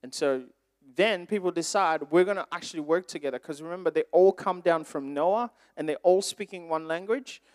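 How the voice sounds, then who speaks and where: A man whose first languages are Swahili and French, preaching informally to young people with conversational, animated storytelling and questions to his listeners. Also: fairly high pitch, normal speed, few pauses, no echo, clean studio sound. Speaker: preacher speaking to a youth group. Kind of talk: informal sermon with personal anecdotes.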